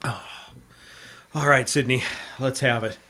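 An actor playing a tough, dogged reporter in an old radio drama, terse and insistent in narration and dialogue. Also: male voice, mid-range voice, steady tone, measured pace, sharp tone, slight background noise. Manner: terse, hard-boiled, insistent. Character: tough, dogged male newspaper reporter O'Hara.

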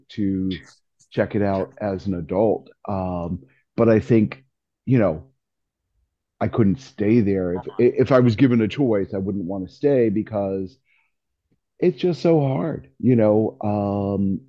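A man in his sixties chatting casually in a group discussion, rambling and thinking aloud in an animated way. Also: lowish voice, slow pace, highs cut off. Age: 60s. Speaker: discussion participant.

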